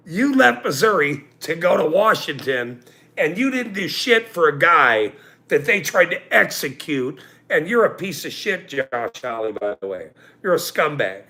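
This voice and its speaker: A man in his forties hosting a livestream, ranting angrily with profanity and loud outbursts, then joking about himself. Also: fairly high pitch, big pitch swings, unhurried. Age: 40s. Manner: angry, profane, with loud outbursts and self-mocking laughs. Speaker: channel host. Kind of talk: livestream rant.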